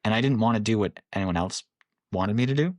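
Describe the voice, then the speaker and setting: A man's voice: fairly low pitch, fast talker, a little mellow, dry recording. A man in his late twenties, a podcast guest, talking candidly and reflectively with frequent laughs. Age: 20s. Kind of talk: casual interview.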